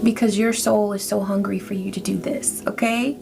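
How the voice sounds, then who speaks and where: A woman's voice: medium pitch, little pitch movement, moderate pace, gravelly, over music. A woman giving a casual spiritual tarot reading, reassuring and often checking in with "okay?". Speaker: tarot reader.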